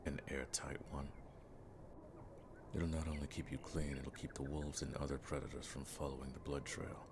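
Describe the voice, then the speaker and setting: An extremely low-voiced, weathered monster hunter played by a man from a fantasy audio drama. He speaks in dry, formal, world-weary lines with little melodic movement. The gravelly male voice sits over clearly audible background noise. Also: flat delivery. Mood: curious, wry. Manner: dry, unhurried, wryly formal speech.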